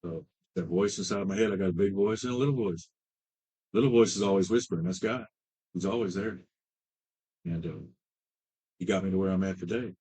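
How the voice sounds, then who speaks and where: A man in his late fifties with a very low, husky voice shares his recovery story as a treatment client. He talks haltingly, with many fillers and restarts. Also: dark tone, rough recording. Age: 50s. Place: treatment center.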